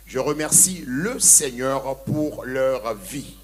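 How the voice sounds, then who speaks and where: A man's voice: mid-range voice, expressive, slow. A middle-aged man with a Togolese accent, an evangelist giving solemn testimony to a crusade in short, declarative phrases. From Togo. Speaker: evangelist preacher.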